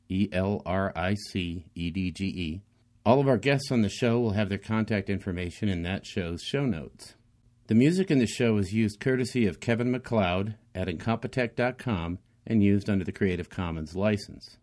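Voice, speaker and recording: A man's voice: deep voice, a little mellow. A man co-hosting a tabletop gaming podcast, chatting casually, joking and laughing often. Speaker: podcast co-host. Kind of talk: casual chat.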